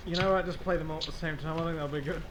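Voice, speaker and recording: A man playing an excitable, theatrical gamer in a comedy skit, switching between bombastic announcing and offhand asides. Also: a little high, racing, heavy background noise, close to an everyday voice. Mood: offhand, instructing. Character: excitable, theatrical male gamer recording his show intro. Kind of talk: comedic animated audio skit.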